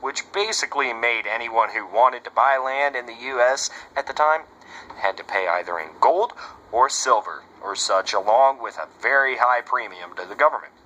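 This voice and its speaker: A man's voice: on the low side, sharp tone. A man playing a brash, slangy 1930s swing-era radio host with a showy, chummy patter, on a noisy amateur recording. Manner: showy, chummy, slangy, asks rhetorical questions.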